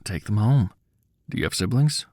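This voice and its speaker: A ragged, sorrowful wanderer from an audio storybook, as a male narrator plays him, low and soft-spoken. He sounds weary yet kindly and gentle, recorded close and dry. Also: animated, moderate pace, no room sound. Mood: gentle, sad.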